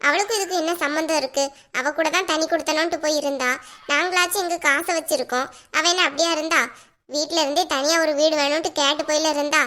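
An exasperated young mother in a family drama, acted by a woman, with a very high, bright voice. She talks bluntly and colloquially, like someone used to scolding. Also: steady tone, fast. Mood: aggrieved, complaining. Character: exasperated young mother, scolding and threatening her little daughter.

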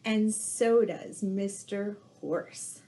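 A middle-aged woman hosting a storytime for young children, reading aloud in an animated, drawn-out way. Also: medium pitch, slight background noise, rough recording. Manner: animated, drawn-out delivery with sound words and gasps. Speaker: children's storytime host dressed as Mrs. Claus. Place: living room indoors by a fireplace. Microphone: webcam at arm's length or further.

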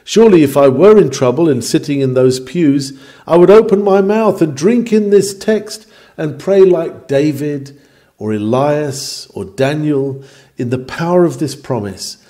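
A man's voice: a little high, expressive, a little slow, dark tone. A man reading an old sermon aloud in an earnest, declamatory manner with formal, old-fashioned phrasing. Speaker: reader of a historical sermon.

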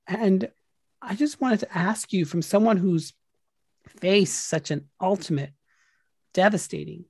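A man's voice: sits high, a little slow, vocal fry, a little mellow, husky, dry recording, clean studio sound. A man hosting a podcast, talking in an earnest, reflective way and repeating phrases for emphasis. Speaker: podcast host. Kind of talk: interview and outro.

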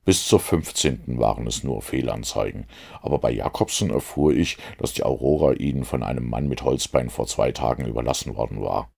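A shrewd, methodical storybook detective acted out by a man reader speaks in an extremely low voice. It crackles with gravel as he lays out his reasoning deliberately, fully sure of himself. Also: darkish tone, full character voice. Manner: deliberate, explanatory.

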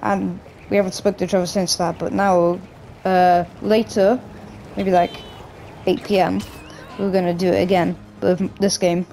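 A man talks casually over a video game in a hoarse, croaky voice, gravelly and rough from a cough. His tone sits low and mellow, and he rambles loosely, full of restarts and fillers. Faint game music plays underneath. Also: little pitch movement, normal speed, rough recording. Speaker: gaming YouTuber. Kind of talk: casual gameplay commentary.

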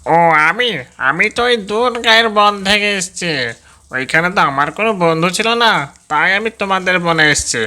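A man's voice: high-pitched, lively intonation, fairly quick, sharp tone, full character voice. A man voicing a sly, greedy fox in a children's cartoon, with a coaxing, sweet-talking manner. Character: sly greedy male fox, wheedling and scheming.

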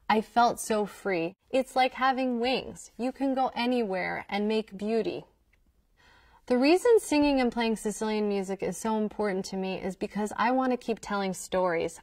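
An enthusiastic woman tells stories to young listeners, her voice sitting a little high. The sound is dry and a touch distant from the mic, recorded in a small studio. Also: dry recording. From Queens, New York. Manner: warm, enthusiastic, storytelling. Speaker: folk musician presenting to children. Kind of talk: scripted educational talk to young listeners. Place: indoor studio with wooden wall backdrop.

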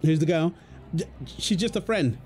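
A man's voice: fairly high pitch, moderate pace, a little mellow. A young man acting out an ASMR boyfriend roleplay in a casual, conversational way. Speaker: audio roleplay performer. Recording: music behind the voice. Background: music.

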